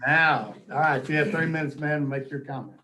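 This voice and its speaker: A man's voice: on the high side, brisk, sharp tone. A man presiding over a local government meeting, calling up speakers in a courteous, informal way. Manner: courteous, informal, says sir and ma'am. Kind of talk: procedural meeting moderation. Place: county meeting room. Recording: faintly noisy meeting room microphone.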